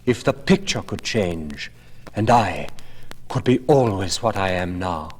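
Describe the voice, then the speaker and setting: A man voicing an impressionable, emotional young gentleman in a storybook reading, earnest and impassioned. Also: deep voice, animated, unhurried, big-room sound. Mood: anguished.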